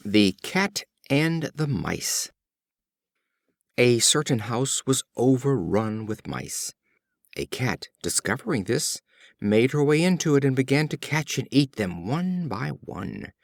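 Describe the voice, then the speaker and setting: A man reading fables as a scripted audiobook narration, in a measured storybook manner. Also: fairly low pitch, dry recording, clean studio sound. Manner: measured, storybook reading with acted character lines.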